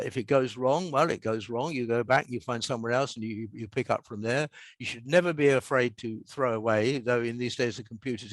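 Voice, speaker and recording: An older man, a writer, speaking in a measured, explanatory and reflective way as a podcast guest. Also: medium pitch, no echo. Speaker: guest author and scriptwriter. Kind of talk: reflective interview talk, with a short reading from own prose.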